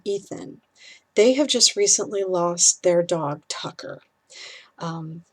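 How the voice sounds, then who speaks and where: A woman speaking as an author, gently instructive, explaining and reading aloud in a measured storytelling style. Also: lowish voice. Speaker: author and speaker on pet loss.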